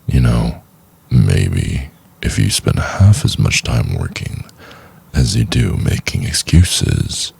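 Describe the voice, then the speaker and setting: A man playing a cold, contemptuous CEO in an ASMR roleplay, talking slowly and condescendingly in a breathy, often half-whispered voice. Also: wildly swooping pitch, a little mellow, heavily acted. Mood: scornful. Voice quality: very deep, gravelly, breathy. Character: cold, contemptuous, domineering male CEO berating an intern.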